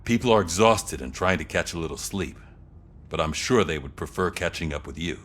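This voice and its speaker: An actor playing a loyal male police ally with plain, steady, reassuring speech in a game cutscene. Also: low-pitched, brisk, slight background noise. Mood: weary. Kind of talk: video game cutscene acting.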